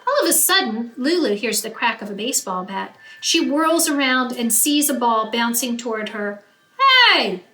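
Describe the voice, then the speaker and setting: A woman with a high, bright voice narrates a children's picture book. She reads expressively, leaning on key words as a teacher would. The room is echoey, with faint noise. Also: animated, moderate pace, hardly any pauses. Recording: roomy echo, faint background noise.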